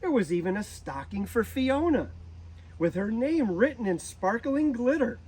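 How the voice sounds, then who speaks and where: A man reading a children's storybook aloud in a deliberate manner, occasionally stumbling over a word. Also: extremely high pitch, very animated, darkish tone, breathy edge. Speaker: zoo director reading a storybook.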